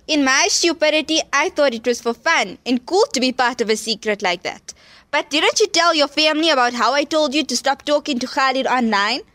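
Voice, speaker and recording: A hurt, indignant teenage girl. Her voice is high and sits close and dry, with no room around it. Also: a bit bright, dry recording. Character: betrayed teenage girl friend Fatima. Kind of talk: audio drama acting.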